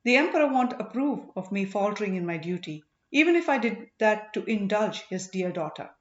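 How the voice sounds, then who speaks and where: A woman's voice: mid-range voice, sing-song, a little fast, reverberant, lo-fi sound, close to an everyday voice. Woman voicing a sneering, slyly deferential male commander. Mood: insinuating. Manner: mocking, feigned deference.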